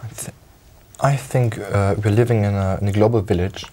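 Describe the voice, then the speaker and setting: Hesitant young German man, deep. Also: steady tone, normal speed, dark tone. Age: young adult. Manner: hesitant, many ums and restarts. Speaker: exam candidate. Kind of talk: spoken exam answers.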